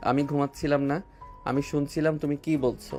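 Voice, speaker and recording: A man's voice: fairly high pitch, fairly even intonation, quick pace, a little mellow, natural voice. A male voice actor playing a romantic young husband who teases his wife tenderly in an audio drama. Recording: music bed underneath.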